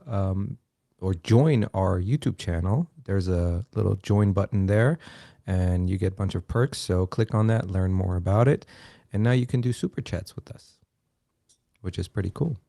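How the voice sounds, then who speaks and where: A man's voice: fairly low pitch, moderate pace, vocal fry, dark tone, no room sound, studio quality. A man hosting a live podcast, chatty with many fillers, laughing easily as he introduces a guest. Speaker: podcast host. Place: podcast studio.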